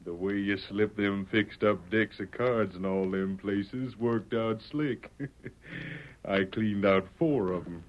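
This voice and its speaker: An actor playing a cocky frontier card sharp in a radio western, with an American accent. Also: male voice, fairly low pitch, slow. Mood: sly. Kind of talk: 1940s radio western acting. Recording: muffled old radio recording, crowd behind.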